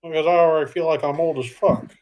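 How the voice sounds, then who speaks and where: A man in his mid-twenties co-hosting a casual livestream chat with deadpan trolling jokes. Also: on the high side, quick pace. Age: 20s. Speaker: podcast co-host.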